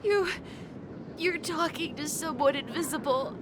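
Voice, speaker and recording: A woman's voice: high voice, unhurried, noisy background. A woman voicing a small, panicky male game creature who wails and pleads in terror. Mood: tearful dread. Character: terrified small Pepeshi creature, convinced he is dead. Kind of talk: video game voice acting.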